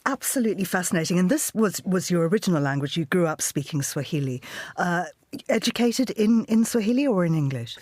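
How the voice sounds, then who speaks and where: A woman's voice: fairly low pitch, animated, a little fast, a little mellow, no echo. A woman hosting a radio interview, asking brief questions and giving attentive murmurs.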